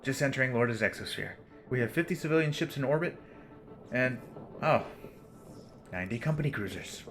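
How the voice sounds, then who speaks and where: An actor playing a nervous, joking older-brother ship pilot. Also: male voice, mid-range voice, animated, a bit bright, faint music behind. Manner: nervous, joking, fast-talking bluffs. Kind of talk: fan audio drama acting.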